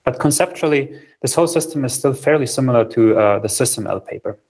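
A young man presenting a technical platform over a video call, steady and explanatory with frequent ums, in a small room. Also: medium pitch, a little fast, breathy edge. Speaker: software engineer presenting a research platform. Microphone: video call, webcam framing.

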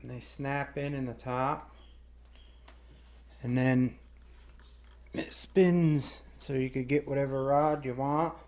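A man's voice: medium pitch, steady tone, takes it slowly, long pauses, a little mellow. A middle-aged man giving a casual hands-on demonstration in a folksy, informal, plain-spoken way. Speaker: hobby vlogger demonstrating a product. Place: small indoor room at home. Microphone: camera a few metres away. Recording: very echoey room, distant mic, faint noise.

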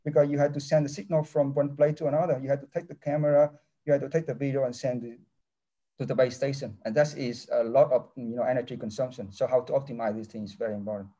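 Halting, mellow-voiced professor on a video call. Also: male voice, medium pitch, fairly even intonation. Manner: halting non-native English with many restarts. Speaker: engineering professor. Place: office with large window. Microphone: laptop webcam mic on a video call.

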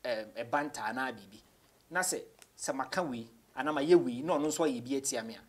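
A man's voice: medium pitch, quick pace, slightly rough. Ghanaian man telling stories casually. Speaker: interview guest, rapper. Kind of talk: casual storytelling in English mixed with Twi. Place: small indoor studio.

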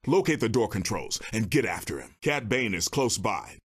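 An actor playing a stern, solemn Jedi master in a video game, speaking in a measured, formal and commanding way with a husky voice. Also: male voice, fairly low pitch, animated, a bit bright. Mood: urgent, warning. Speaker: video game mission giver. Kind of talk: scripted game character dialogue.

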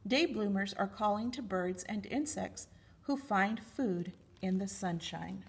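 A middle-aged woman with a slightly husky voice, reading picture books aloud expressively for young children as a library storytime reader. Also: medium pitch, a little slow, a little hiss or hum.

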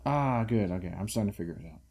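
A man's voice: fairly low pitch, expressive, rapid-fire, a little mellow, a little hiss or hum. A young man co-hosting a casual pool game video, teasing in a mock-formal manner. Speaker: gamer co-host. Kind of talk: casual gaming chat.

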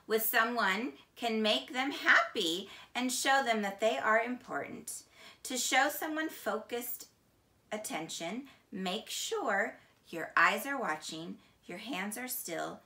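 A middle-aged woman reading a children's picture book aloud in a deliberate, expressive teacher's manner. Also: a little high. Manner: slow, deliberate, expressive storytelling. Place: small indoor room, seated on couch. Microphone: camera a few feet away. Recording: echoey room.

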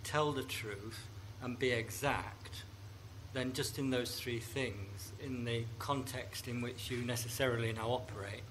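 A man, a writer on a panel, answering carefully and deliberately, slowing down to stress key phrases. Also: mid-range voice, a bit bright, a little hiss or hum, low-quality recording. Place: festival stage before a live audience.

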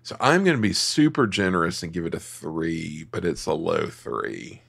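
Low gravelly man in casual chat. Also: wildly swooping pitch, moderate pace, a bit bright. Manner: hesitant, thinks aloud with many restarts. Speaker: podcast co-host. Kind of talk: casual film review chat.

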